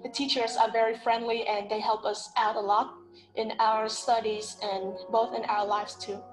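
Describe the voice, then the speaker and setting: Earnest young woman from Vietnam, flat delivery. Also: fairly high pitch, normal speed, rough recording. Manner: simple, earnest, non-native phrasing. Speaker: former student in a testimonial. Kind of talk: testimonial. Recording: promo clip with music underneath.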